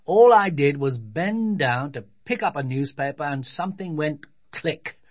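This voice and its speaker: An adult reader as a slow, grumpy storybook dad. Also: mid-range voice, animated, a bit bright. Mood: grumpy, resigned. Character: gloomy, grumpy father, patient but weary. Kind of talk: children's storybook reading.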